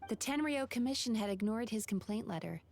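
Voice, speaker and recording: A woman playing a composed, frank psychologist in a video game, explaining things in a measured clinical way. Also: on the high side, animated, a little mellow. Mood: composed, analytical.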